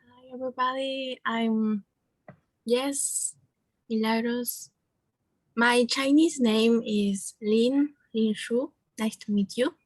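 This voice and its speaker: A woman, a university student and native Spanish speaker, introducing herself shyly and haltingly in English. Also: fairly high pitch, fairly even intonation, dry recording. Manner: shy, halting, soft. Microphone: video call.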